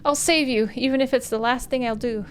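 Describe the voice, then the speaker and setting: A woman's voice: a little high, steady tone, fairly quick, a little hiss or hum, pitched above the performer's own voice, close to an everyday voice. A woman playing a frightened, devoted young woman in a visual novel, urgently pleading and calling out. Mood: desperate.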